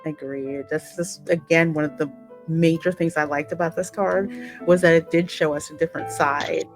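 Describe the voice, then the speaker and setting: A woman with a deep voice talks casually as a gaming co-host. She explains her points in a measured, conversational way, with soft guitar music playing behind her. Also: steady tone. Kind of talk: casual reaction chat about a video game.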